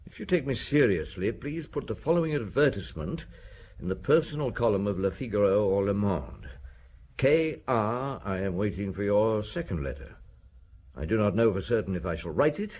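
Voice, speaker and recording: An actor playing a shrewd, wryly amused chief inspector in a radio drama, both talking and narrating. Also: male voice, fairly low pitch, sing-song, normal speed, darkish tone, slightly rough, heavily acted. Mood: businesslike, measured. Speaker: actor in the lead role. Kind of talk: radio drama dialogue and first-person narration.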